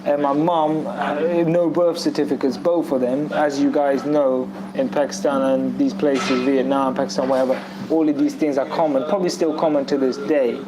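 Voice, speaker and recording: A man's voice: mid-range voice, fairly even intonation, moderate pace, hardly any pauses. Rambling man telling a story, noisy backdrop. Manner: rambling, storytelling with frequent restarts. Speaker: discussion guest. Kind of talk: casual group discussion. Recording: audible background noise.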